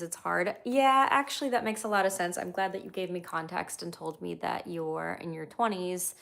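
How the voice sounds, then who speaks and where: A woman from Ukraine whose first language is Russian, hosting a relaxed livestream as a comedian and podcaster from home. Her voice sits on the low side, with a gravelly, fry-laden texture. She muses aloud at an easy pace, reading out viewer comments and answering them with plenty of ums. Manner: easygoing, thinks aloud with frequent ums, reads chat comments aloud. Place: at home, brushing her dog.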